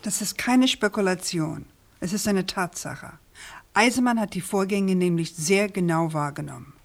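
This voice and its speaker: An actress playing a persistent, composed investigative journalist in a radio crime drama, probing and articulate. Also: female voice, lowish voice, moderate pace, lightly acted. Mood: insistent.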